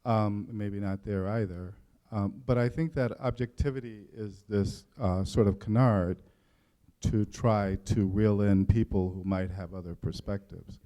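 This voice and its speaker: A man who works as a news editor, speaking on a panel in a measured, deliberate way. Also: lowish voice, steady tone, dark tone. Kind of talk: panel discussion. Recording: dull lo-fi recording.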